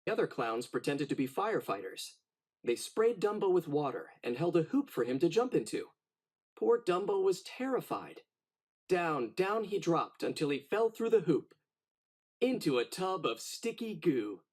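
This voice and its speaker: A man narrating a children's storybook in an expressive, dramatic read-aloud style. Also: on the high side, moderate pace, slightly rough.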